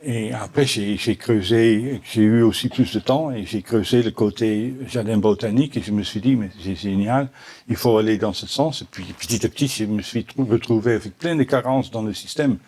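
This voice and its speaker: A middle-aged man from the Netherlands with a Dutch accent, answering audience questions at a conference in halting French full of restarts. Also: lowish voice, darkish tone, breathy edge. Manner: halting, many restarts and repeated words. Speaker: botanical garden owner answering questions. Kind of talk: Q&A after a conference talk.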